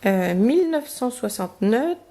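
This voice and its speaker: A woman with a slightly higher voice talks casually through a beauty box, pausing and filling gaps as she goes. Her nose is a little blocked from a cold, and she sometimes reads out product descriptions. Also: big pitch swings, mellow. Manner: chatty, hesitant, many fillers, reads product cards aloud. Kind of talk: casual unboxing review.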